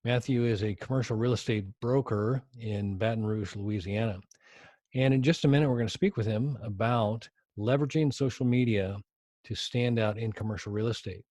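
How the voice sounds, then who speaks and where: A man's voice: fairly low pitch, moderate pace, warm tone, dry recording. A man hosting a podcast, introducing his guest and asking questions in a friendly, chatty way with many hesitant fillers. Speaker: podcast host. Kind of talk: podcast interview.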